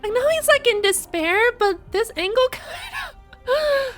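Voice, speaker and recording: A woman streamer giving casual, expressive live reaction commentary on a game story, with frequent interjections. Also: extremely high pitch, slow pace, faint music behind.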